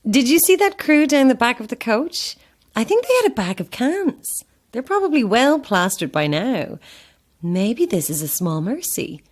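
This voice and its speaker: A woman's voice: fairly high pitch, big pitch swings, normal speed. A woman with an Irish accent playing a curious, easygoing tourist in a naturalistic audio horror drama, conversational and thoughtful. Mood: wry chat. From Ireland.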